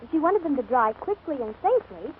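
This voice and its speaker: High-voiced woman in old radio commercial. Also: very animated, fast. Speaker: advertisement co-presenter. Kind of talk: scripted 1940s radio commercial. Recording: scratchy, narrow-band 1940s radio broadcast.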